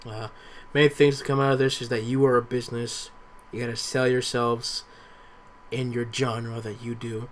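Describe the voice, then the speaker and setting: A young man talks through his notes in a casual podcast recap. His voice sits at an average pitch, and there is a little noise behind him. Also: level tone, normal speed. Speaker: podcast co-speaker.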